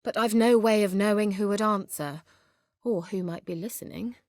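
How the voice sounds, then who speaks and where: Woman doing a snooty sorceress for a fantasy video game, sardonic n teasing, crisp wording, very dry studio sound. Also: mid-range voice, normal speed, a little mellow, no echo, lightly acted. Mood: guarded, serious. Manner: haughty, wry, teasing, articulate. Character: haughty, sardonic, sly sorceress in a fantasy world.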